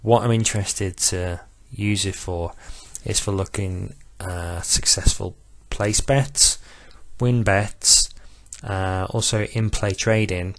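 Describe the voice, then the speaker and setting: Low-pitched male tutorial narrator, flat monotone, dry close sound. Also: no room sound. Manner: self-described monotone, measured with filler pauses. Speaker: betting software demonstrator. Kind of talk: screen-recorded tutorial walkthrough.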